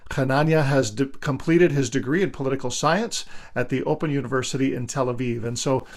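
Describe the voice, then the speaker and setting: A man's voice: mid-range voice, fairly quick. A man hosting a podcast, introducing and questioning his guest in a friendly, upbeat way. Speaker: podcast host. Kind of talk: podcast interview.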